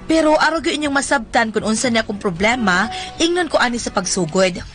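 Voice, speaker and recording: A woman's voice: fairly high pitch, lively intonation, fairly quick, a bit bright. A woman playing a weary, dutiful daughter in a radio drama, coaxing and exasperated, with a Visayan Filipino accent. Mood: troubled, coaxing. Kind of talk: radio drama acting with first-person narration. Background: music.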